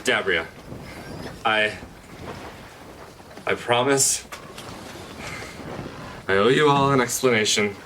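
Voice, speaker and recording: A man playing a secretive, defiant adventurer in an audio drama, speaking in a dramatic, halting and emphatic way. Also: medium pitch, sharp tone, a little hiss or hum, low-quality recording. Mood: dazed, apologetic. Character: secretive adventurer, defiant son of a sea hag.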